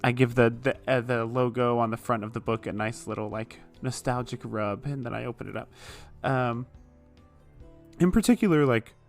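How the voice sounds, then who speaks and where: A man's voice: medium pitch, normal speed, soft background music. A man speaking casually as a player in a tabletop role-playing game. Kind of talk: casual chat in actual play.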